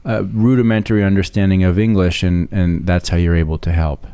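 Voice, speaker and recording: A man hosting an interview podcast, talking in a measured, hesitant way with many restarts. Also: low-pitched, little pitch movement, mellow, clear, resonant tone. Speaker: podcast host. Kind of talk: casual interview talk.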